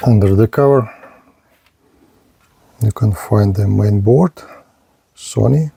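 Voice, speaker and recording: Guy doing a hands-on gadget demo, halting non-native english, slow and mellow, bit of background noise. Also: fairly low pitch, gravelly. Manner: halting, short phrases. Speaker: radio reviewer. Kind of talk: hands-on product demonstration.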